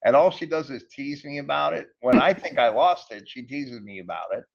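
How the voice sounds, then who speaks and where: A man's voice: mid-range voice, lively intonation, fairly quick, gravelly, muffled recording. Middle-aged New England man, rambling. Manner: rambling, repeats words. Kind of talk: casual group chat.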